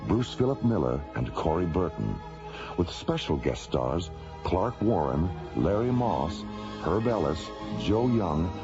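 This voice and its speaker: Deep, gravelly male radio announcer. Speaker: announcer and narrator. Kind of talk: scripted radio drama narration and credits. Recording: dull lo-fi old radio recording. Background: loud music.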